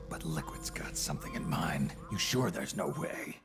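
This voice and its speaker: An actor playing a gruff, weary soldier in a video game, questioning skeptically in a gravelly, breathy growl. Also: male voice, very deep voice, animated, normal speed, whispered, over music. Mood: skeptical, probing. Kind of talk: video game cutscene dialogue.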